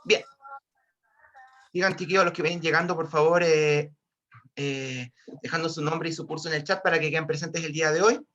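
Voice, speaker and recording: A Chilean male physics teacher, a little hoarse from a cold, teaching online in a fairly high voice. He restarts sentences and keeps calling to his students, through dull, lo-fi video-call audio. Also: steady tone, normal speed, a bit bright. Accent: Chilean Spanish. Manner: repetitive, restarts often, keeps addressing students. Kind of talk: online class lecture.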